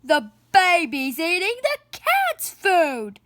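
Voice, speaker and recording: A young boy from a loud picture-book family, acted by a woman. He shouts everything in a very high, swooping voice. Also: huge pitch swings, slow, dry recording, full character voice. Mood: loud, alarmed. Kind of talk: children's picture book read-aloud.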